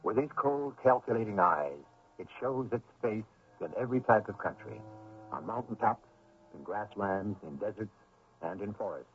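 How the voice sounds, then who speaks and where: A man hosting and narrating a radio mystery in a theatrical, measured, solemn and ominous scripted style. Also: lowish voice, animated. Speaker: host and narrator. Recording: narrow old radio broadcast, faint noise.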